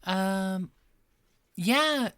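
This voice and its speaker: A woman's voice: medium pitch, lively intonation, very slow, a bit bright, clean tone, no room sound, clean studio sound. A woman playing a frazzled but friendly police detective in an ASMR roleplay, with a hesitant, stammering delivery that often repeats words. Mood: shy, pleased. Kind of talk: ASMR roleplay, one-sided dialogue.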